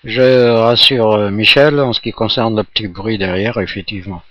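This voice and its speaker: A low-pitched man talks casually over an amateur radio contact. The sound is slightly muffled and roomy, as recorded in an echoing space. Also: steady tone, moderate pace. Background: electric fan blowing nearby.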